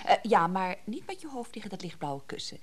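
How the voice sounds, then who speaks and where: A woman's voice: mid-range voice, very animated, brisk. A woman playing an indignant, suspicious, well-to-do wife in a radio play, fussy, bossy and insistent. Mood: suspicious, nagging.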